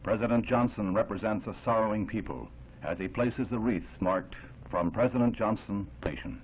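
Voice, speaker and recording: Deep grave American newsreel narrator, tinny recording. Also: male voice, slight background noise. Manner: grave, measured, oratorical delivery. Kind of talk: 1960s newsreel scripted narration, solemn and dramatic. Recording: tinny 1960s newsreel soundtrack, band-limited. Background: music.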